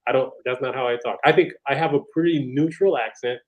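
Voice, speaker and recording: A man's voice: mid-range voice, fast. An American man answering interview questions, explaining things step by step in a casual, thoughtful way. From United States. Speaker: guest. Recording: dull lo-fi sound.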